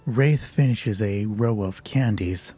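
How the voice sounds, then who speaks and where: A man narrating a solo tabletop horror roleplay as game master in measured, short descriptive phrases. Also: fairly low pitch, mellow, breathy edge. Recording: music behind the voice. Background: music.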